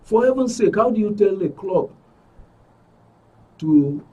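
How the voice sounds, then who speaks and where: Husky Nigerian man, picked up from afar. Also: high voice, animated, a little slow, mellow, far from the mic, low-quality recording. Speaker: studio guest, aggrieved club member. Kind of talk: spoken account in a broadcast interview. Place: radio and TV studio.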